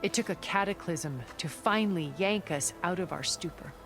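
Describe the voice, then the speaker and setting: Low-voiced, worldly, regretful schemer. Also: female voice, fairly bright tone, faint music behind. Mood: grave regret. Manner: measured, articulate, theatrical. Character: worldly, regretful, scheming middle-aged woman of a far-future elite. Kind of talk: dramatic video game cutscene acting.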